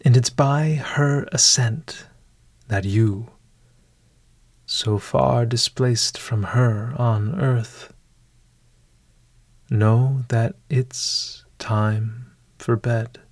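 Very slow-speaking man guiding bedtime meditation. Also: medium pitch, no room sound. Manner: hushed, deliberate, ornate poetic phrasing with long pauses. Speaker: podcast host guiding meditation. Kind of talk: guided bedtime meditation, scripted narration.

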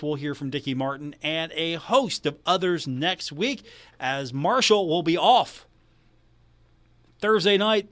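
A man's voice: a little high, fairly bright tone. A man hosting a live sports radio show in a casual announcing style.

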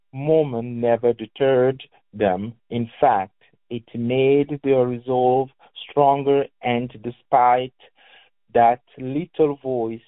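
Slow-speaking Cameroonian man on a tinny line. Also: medium pitch. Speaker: guest reader, educator and engineer. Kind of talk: phone interview with reading aloud from a book. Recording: echoey, tinny phone-like line.

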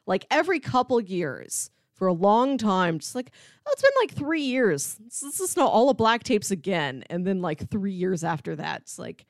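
A woman's voice: mid-range voice, sing-song, normal speed, no echo, studio quality. A woman co-hosting a podcast, chatting casually and playfully about media. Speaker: podcast co-host.

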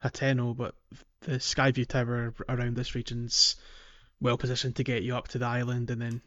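A man's voice: mid-range voice, completely flat delivery, moderate pace, fairly bright tone, muffled recording. A man co-hosting a video game podcast in casual, enthusiastic chat, often feeling his way through a thought. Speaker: podcast co-host.

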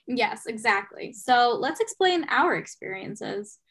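A woman's voice: a little high, very animated, slow pace, fairly bright tone, husky. A young woman, an education student, leading the podcast discussion in an encouraging way. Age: young adult.

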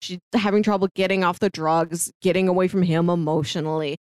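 A woman's voice: fairly low pitch, steady tone, a little fast, a little mellow, clear, resonant tone, dry recording, clean studio sound. A woman co-hosting a podcast, casually discussing a story and acting out bits of dialogue as she retells it. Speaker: podcast co-host. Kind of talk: casual discussion of an audio drama.

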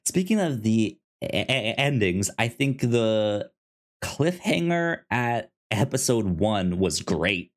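A man co-hosting a podcast in casual review chat, halting and often stammering over his words as he explains. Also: lowish voice, big pitch swings. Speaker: podcast co-host.

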